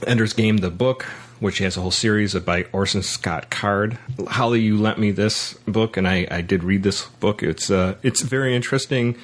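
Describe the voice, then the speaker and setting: A man with a low, deep voice hosts a casual podcast about movies. His phrasing is halting and hesitant, and he often restarts words as he works through a thought. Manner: halting, hesitant phrasing with repeated word starts. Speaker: podcast host.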